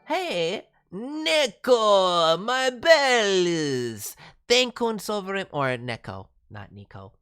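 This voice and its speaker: A woman hosting a livestream as a voice director, giving casual, encouraging, animated critique. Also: mid-range voice, drawn-out words, clear, resonant tone, faint music behind. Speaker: streaming host and voice director reviewing demos.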